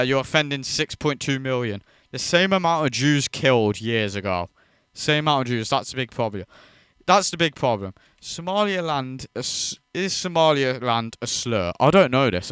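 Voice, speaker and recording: A man's voice: medium pitch, a bit bright, smooth, no room sound, studio quality. A man hosting a reaction video, ranting in disbelief and swearing freely as he mocks what he watches. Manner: incredulous, profane, mocking.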